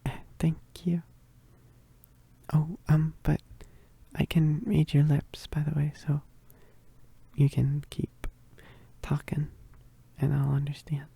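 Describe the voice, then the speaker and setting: A woman playing a shy, flustered young werewolf roommate in a romantic ASMR roleplay, halting and stammering in a soft, breathy voice, often whispering, close to the mic. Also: sits low, monotone, dry recording, full character voice. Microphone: close microphone.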